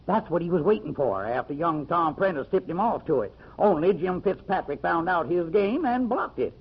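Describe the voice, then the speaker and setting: An actor playing a shrewd, talkative country store owner, folksy and self-satisfied, in an old-time radio mystery. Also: male voice, on the high side, expressive, normal speed, breathy edge. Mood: boastful, gossipy. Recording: muffled, dull old radio recording.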